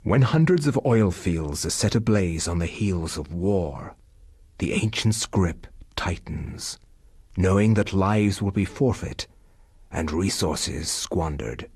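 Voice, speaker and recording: A man playing a grave, brooding narrator of a horror game, speaking deliberately in a husky voice. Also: low voice, lively intonation, darkish tone, dry recording, clean studio sound, exaggerated voice. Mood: ominous. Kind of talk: scripted dramatic game narration.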